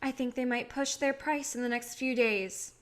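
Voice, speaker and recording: A woman's voice: on the high side, fairly even intonation, normal speed, lightly acted. A woman playing a guarded, assertive young indie film director in a staged play reading, firm and direct.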